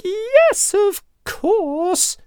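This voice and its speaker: Casting: tiny timid storybook mouse, male, extremely high squeaky falsetto, drawn-out delivery. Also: big pitch swings, dark tone, no room sound, clean studio sound, heavily acted. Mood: relieved, eager. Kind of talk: children's storybook character voice.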